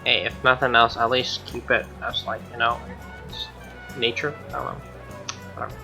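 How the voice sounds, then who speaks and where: A man's voice: mid-range voice, little pitch movement, normal speed, creaky, over music. A young man streaming, reading game text aloud and adding slangy, offhand casual commentary. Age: young adult.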